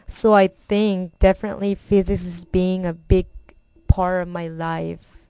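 A young college student from Korea, speaking English with a strong Korean accent. Her voice is mellow and smooth, and it sounds close and dry. She reads carefully and sometimes restarts a word. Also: on the low side, level tone, measured pace, no echo. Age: young adult. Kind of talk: read personal introduction.

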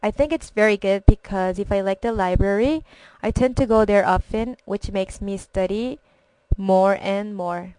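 A young college student from Korea, speaking English with a strong Korean accent. Her voice is mellow and smooth, and it sounds close and dry. She reads carefully and sometimes restarts a word. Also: medium pitch, normal speed, dry recording, low-quality recording. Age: young adult. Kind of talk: read personal introduction.

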